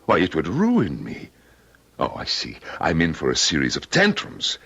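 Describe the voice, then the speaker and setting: An actor playing a courtly, blustering ballet impresario in an old-time radio drama. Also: male voice, extremely low pitch, wildly swooping pitch, moderate pace, creaky, a bit bright, slightly rough, exaggerated voice. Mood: exasperated. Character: suave, excitable male ballet impresario, courtly and dismissive. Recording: dull lo-fi radio drama recording.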